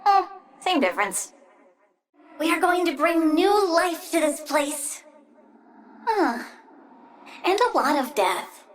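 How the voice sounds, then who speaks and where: Girly plant villain kid for a video game, super high and swoopy, playful but threatening, bratty. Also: very animated, takes it slowly, long pauses, exaggerated voice. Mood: gleeful, smug. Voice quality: girlish. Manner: playful, petulant, swings from cooing to threats. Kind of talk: video game character voice lines.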